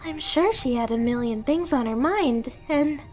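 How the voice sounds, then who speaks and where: A woman's voice: high-pitched, expressive, moderate pace, a little mellow, soft background music. A woman playing a timid, clumsy young catgirl pet who is eager to please, speaking in a meek, hesitant, apologetic way in an anime-style ASMR roleplay. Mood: hurt, defensive.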